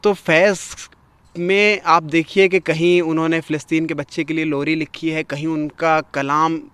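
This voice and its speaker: A man hosting a poetry gathering, reciting verse and commenting on it in a measured, expressive, literary way. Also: fairly high pitch, smooth, dry recording.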